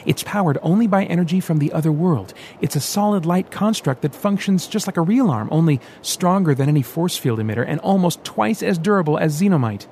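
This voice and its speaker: Man reading an eager tech-whiz guy, higher and bouncy, talks fast when excited, audiobook story. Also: slight background noise, natural voice.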